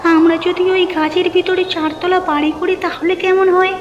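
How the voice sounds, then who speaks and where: Woman voicing a very high-pitched bossy village wife. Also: level tone, moderate pace. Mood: insistent. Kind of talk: cartoon story dialogue. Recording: dull lo-fi audio, music behind.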